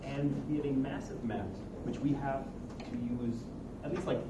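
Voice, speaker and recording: A man's voice: mid-range voice, fairly even intonation, normal speed. A young man with a mid-range voice gives an informal technical presentation as a student. He sounds far from the mic in an echoey room, under heavy noise. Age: young adult. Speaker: student presenter introducing a game project. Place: large echoey indoor room at a student showcase.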